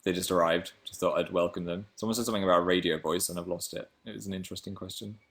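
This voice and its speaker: A man in his twenties from England with a deep voice, chatting playfully on a webcam livestream while reading comments. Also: fairly even intonation, rapid-fire, a bit bright. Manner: playful, jumps between high and low pitch. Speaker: livestream host. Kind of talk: casual live chat reading comments.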